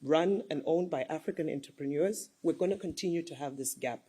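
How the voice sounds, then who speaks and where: Woman panelist, husky low-key voice, persuasive, stacks up examples. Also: fairly quick, dark tone. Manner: earnest, persuasive, lists examples. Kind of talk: panel discussion.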